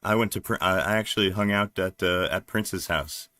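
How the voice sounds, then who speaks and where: A man from New Jersey with a deep voice, chatting casually and haltingly on a live stream while he works at his computer, with lots of fillers. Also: pushed and bright, no room sound. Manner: rambling, halting, many fillers, laughs often. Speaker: livestreaming developer.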